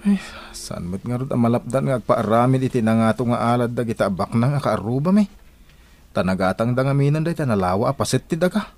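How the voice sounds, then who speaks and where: An actor playing a poor, anxious village husband with a husky voice and a complaining manner in an Ilocano radio drama. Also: male voice, mid-range voice, animated, quick pace, a little mellow, soft background music, lightly acted. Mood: grumbling. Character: poor village husband, anxious, grumbling about rich neighbors.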